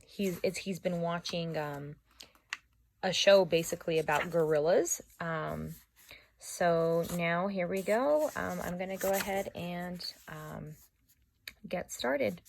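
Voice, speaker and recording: A woman speaking as an elementary school teacher, casually and haltingly introducing a read-aloud for children. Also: lowish voice, vocal fry. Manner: halting, informal.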